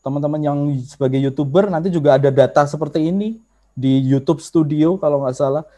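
A man with a Javanese accent gives an online workshop lecture over a video call. His voice sits a little high but has a mellow, dark tone. He explains step by step, turning often to address his listeners. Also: a little fast. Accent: Javanese Indonesian. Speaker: workshop instructor.

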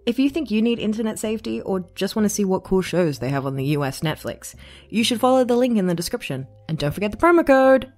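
A woman's voice: medium pitch, very animated, quick pace, a little mellow, soft background music. A woman reading a scripted promotional spot as a channel host.

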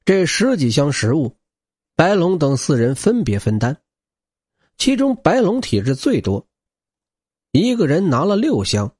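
A man's voice: fairly high pitch, very animated, measured pace, long pauses, a little mellow, slightly rough, no echo, clean studio sound. A man reading a novel aloud as a dramatic scripted narration. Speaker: audiobook narrator.

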